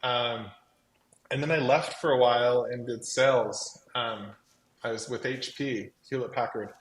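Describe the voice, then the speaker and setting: A man's voice: medium pitch, fairly even intonation, unhurried. Chatty man in a roomy space. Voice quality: voice that carries. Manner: chatty, effusive, peppers talk with "like". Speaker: guest, crafting company co-founder. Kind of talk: casual live chat, personal storytelling. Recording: roomy echo, faint background noise.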